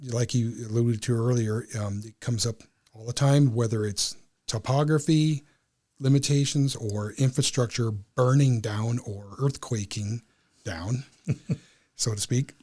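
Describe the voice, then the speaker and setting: A man speaking as an interview guest, explaining things in a halting way with pauses between phrases. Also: medium pitch, dark tone. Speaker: amateur radio club member, guest.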